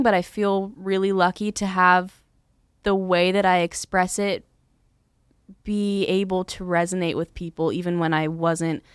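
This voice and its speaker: A woman's voice: lowish voice, smooth, dry recording, studio quality. A teenage girl from Philadelphia, a singer-songwriter, chatting casually as a guest and saying "like" a lot. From Philadelphia, USA. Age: teens. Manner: chatty, lots of "like", laughs while talking. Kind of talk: casual interview chat.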